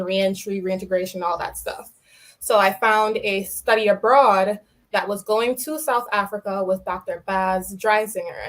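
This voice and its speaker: A young woman from New York City, a podcast guest explaining her work with light laughs. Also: mid-range voice. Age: young adult. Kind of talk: podcast interview.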